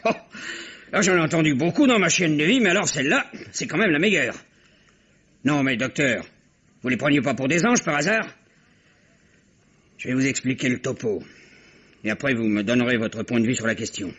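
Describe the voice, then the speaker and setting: A bitter slangy taxi driver. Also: male voice, mid-range voice, lively intonation, normal speed, long pauses, a bit bright, husky. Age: 30s. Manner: surly, crude, slangy. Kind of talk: 1950s French radio drama acting. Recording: dull old radio archive recording.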